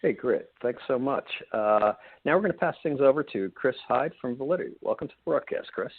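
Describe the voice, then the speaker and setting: Husky man moderating over a phone line. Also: mid-range voice, animated, fairly quick, no room sound. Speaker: webinar moderator. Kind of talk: scripted event hosting. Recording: dry tinny phone-like line.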